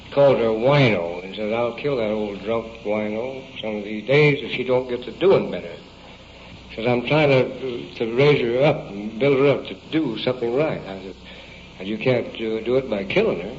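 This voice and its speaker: American male witness, folksy colloquial recounting, halting and repetitive. Dull vintage tape with faint noise. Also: mid-range voice. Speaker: witness giving a police statement. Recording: muffled 1950s field recording, faint noise.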